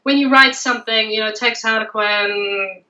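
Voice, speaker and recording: A woman with a bright, slightly high voice chats casually about her writing career. She often restarts and repeats words as she gathers her thoughts. She sits back from the mic on a video call, so she sounds a little distant. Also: steady tone, normal speed, highs cut off. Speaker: romance author guest. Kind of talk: casual livestream chat.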